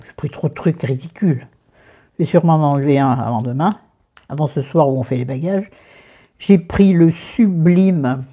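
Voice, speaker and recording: Woman, middle-aged, southern French accent, low mellow voice, husky, chatty beauty vlogger. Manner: chatty, self-mocking, talks to viewers and friends by name. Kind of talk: casual makeup and skincare chat.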